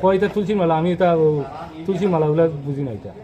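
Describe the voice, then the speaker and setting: Higher-voiced Tripura Bengali man, crowd behind. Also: fast talker. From Tripura, India. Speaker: bicycle repairer being interviewed. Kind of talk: halting unscripted answers to a reporter. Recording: outdoor street with crowd noise.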